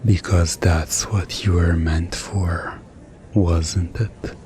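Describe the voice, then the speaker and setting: A man playing a mischievous, smugly commanding hypnotist in an ASMR roleplay, soothing and teasing with deliberate pauses. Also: low voice, fairly even intonation, dark tone, slight background noise.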